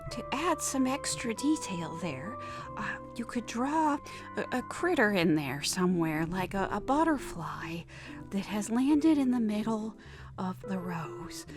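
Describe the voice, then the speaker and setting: Over clear background music, a woman plays an awkward, wistful hostess of a macabre audio show. She teaches very slowly and haltingly, with deliberate care and hesitant pauses. Her pitch sits in an ordinary female range. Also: very animated. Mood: halting, patient. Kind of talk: scripted horror-comedy podcast monologue, mock drawing lesson. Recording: music behind the voice.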